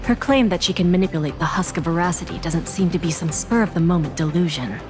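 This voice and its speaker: Low-voiced, composed corporate woman played by an actress. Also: close to an everyday voice. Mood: composed, explaining. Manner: poised, articulate, deliberate. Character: composed, authoritative female corporate insider briefing allies. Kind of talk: video game dialogue, measured exposition. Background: game music.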